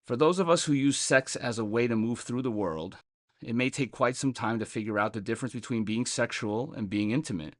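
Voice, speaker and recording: A man's voice: mid-range voice, brisk, no echo, clean studio sound. A man reading a scripted daily meditation as a reader on a recovery podcast.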